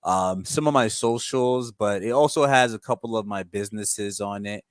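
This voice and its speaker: A man from Ghana, a guest in a casual chat, speaking calmly and measured, with filler words. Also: on the low side, smooth, dry recording, studio quality.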